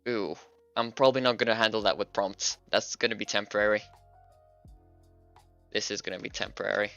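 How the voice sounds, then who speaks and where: A lower-pitched man from Hungary, a native Hungarian speaker, chats casually on his livestream while he works. His voice is bright and forward, and he laughs often. The sound is dry and a bit dull, with faint music behind. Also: brisk, vocal fry, clean tone, no room sound. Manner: relaxed, chatty, thinks aloud, laughs often. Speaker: streamer and game developer.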